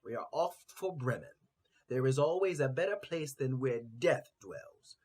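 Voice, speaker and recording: A kindly donkey from a storybook, played by a man, upbeat and coaxing. His pitch moves around a lot and he takes his time, like a reassuring friend. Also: animated, unhurried, a little mellow.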